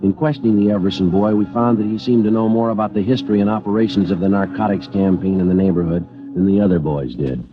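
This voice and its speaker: An actor playing a calm, terse police detective who narrates his case in a clipped, deadpan radio drama style. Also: on the low side, fast talker, few pauses, close to an everyday voice. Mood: matter-of-fact. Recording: dull old radio recording, music underneath.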